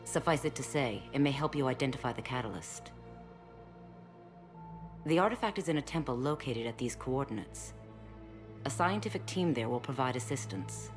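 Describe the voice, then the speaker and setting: A woman's voice: rumbling low, lively intonation, moderate pace, pauses often. A woman voicing a dignified, guarded alien stateswoman in a video game, speaking in a formal, diplomatic way. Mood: grave, formal. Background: music.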